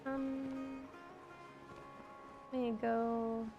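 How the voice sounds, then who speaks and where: A woman live streamer reacting to a game in casual, relaxed, offhand chat. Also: sits high, drawn-out words, muffled recording. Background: game music.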